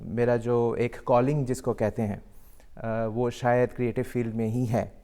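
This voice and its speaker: A man's voice: mid-range voice, flat delivery, a little slow, warm tone. A man raised in Delhi, answering interview questions in a hesitant way, with many fillers and English phrases mixed in. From Delhi, India. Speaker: guest artist.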